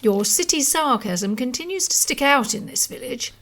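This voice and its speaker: High, chatty village newsagent. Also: female voice, expressive, moderate pace, fairly bright tone, exaggerated voice. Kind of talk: radio drama acting.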